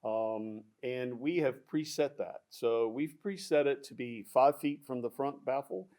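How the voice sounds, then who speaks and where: Man explaining speaker specs with halting fillers. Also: medium pitch, darkish tone. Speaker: audio product specialist. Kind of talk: technical product discussion.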